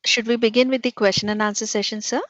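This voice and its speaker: A woman's voice: a little high, steady tone, fast talker, a bit bright. A woman reading scripted announcements as a conference call operator. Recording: dull conference call audio.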